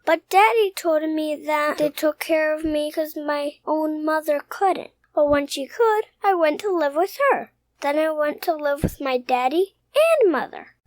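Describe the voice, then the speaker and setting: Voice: high-pitched, animated, a little slow, few pauses, no echo, poor audio. A young performer playing a shy, anxious six-year-old boy in a radio soap, polite, hesitant and earnest. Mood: earnest, unsure.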